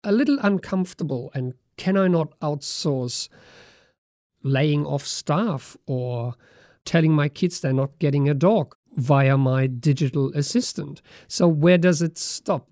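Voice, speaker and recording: A man's voice: on the high side, a little slow, darkish tone, no echo, studio quality. A man co-hosting a podcast, discussing in a casual way and asking rhetorical questions. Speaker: podcast co-host.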